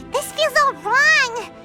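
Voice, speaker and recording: A tiny childlike fairy sidekick with a very high, squeaky voice. The delivery is excitable and colloquial, leaping all over in pitch. Loud game music plays underneath. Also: female voice, heavily acted. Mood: indignant. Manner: exclamatory, colloquial. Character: small excitable childlike fairy companion, female. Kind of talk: video game character acting.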